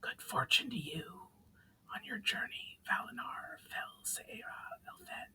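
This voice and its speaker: A man plays a flaming sorceress from a fantasy audiobook. She is solemn and cryptic. Her speech is formal and measured, with an archaic turn. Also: high voice, huge pitch swings, pushed and bright, in a whisper, voice pushed up, heavily acted, low-quality recording. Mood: ominous, solemn. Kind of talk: dramatic fantasy audiobook reading.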